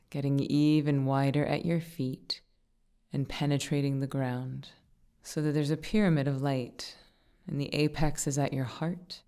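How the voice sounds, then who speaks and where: A woman with a very deep, dark and relaxed voice leads a guided meditation. Her intonation stays flat and steady. She gives gentle instructions in short, unhurried phrases, leaving pauses between them.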